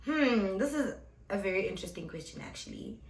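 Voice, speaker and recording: A woman's voice: mid-range voice, animated, a little fast, reverberant. A woman in her twenties answering viewer questions in a casual vlog, chatty, blunt and playful, often addressing her viewers as guys. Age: 20s. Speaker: vlogger and club host.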